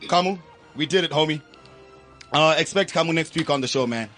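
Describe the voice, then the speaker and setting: A man's voice: on the high side, fairly quick, a bit bright, soft background music. A man from Zimbabwe hosting a radio show, teasing his guests and laughing a lot in casual studio banter. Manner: playful, teasing, laughs often.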